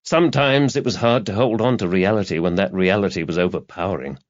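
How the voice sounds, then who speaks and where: Low-voiced man narrating a thriller. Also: brisk. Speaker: audiobook narrator. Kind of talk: scripted dramatic narration of a crime thriller. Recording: slightly dull, lo-fi audio.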